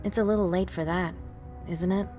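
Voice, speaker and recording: Woman acting a lowish-voiced probing vampire. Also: fairly even intonation, normal speed, lightly acted. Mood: probing, pointed. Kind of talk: dramatic video game acting. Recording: music behind.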